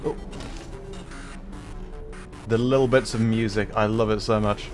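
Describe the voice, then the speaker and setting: A man's voice: on the low side, steady tone, measured pace, music in the background. A man livestreaming a game with casual, enthusiastic commentary, musing and explaining as he goes.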